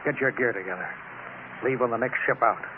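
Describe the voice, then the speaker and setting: An actor playing a bullying, explosive colonial bureau chief in a 1950s radio drama. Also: male voice, medium pitch, fairly quick, lightly acted. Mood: angry. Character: blustering tyrannical male colonial boss. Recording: scratchy tinny old radio broadcast.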